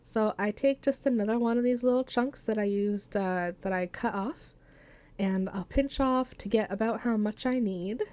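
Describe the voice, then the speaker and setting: A woman's voice: medium pitch, moderate pace, darkish tone. A woman chatting casually through a hands-on craft tutorial as its host, playful and jokey, with folksy made-up words. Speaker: soap maker hosting a craft tutorial.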